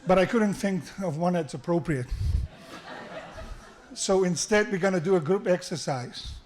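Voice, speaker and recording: A man's voice: high voice, moderate pace, a little mellow. A middle-aged man with a South African accent giving a joking father-of-the-groom wedding speech, with frequent restarts, into a microphone at a reception. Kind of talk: wedding toast. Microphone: handheld microphone through the sound system.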